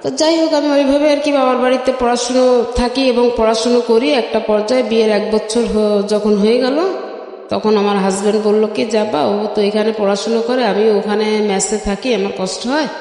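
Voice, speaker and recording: A woman's voice: on the high side, steady tone, normal speed, a little mellow. A middle-aged Bangladeshi woman telling her life story in an interview, step by step, with frequent filler phrases. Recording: very echoey, long reverb.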